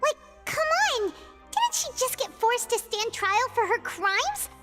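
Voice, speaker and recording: Very high, squeaky little sidekick. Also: female voice, very animated, a little slow, exaggerated voice. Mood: indignant. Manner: squeaky, exclamatory, informal. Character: tiny childlike companion, chirpy, cheeky and outspoken. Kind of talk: video game character acting. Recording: music behind the voice.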